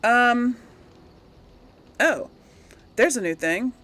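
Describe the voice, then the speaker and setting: A woman's voice: on the high side, lively intonation, very slow, fairly bright tone. A woman hosting a casual let's play, talking to viewers in a rambling, hesitant, giggly way. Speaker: gaming video host. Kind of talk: casual let's play commentary with role-played replies.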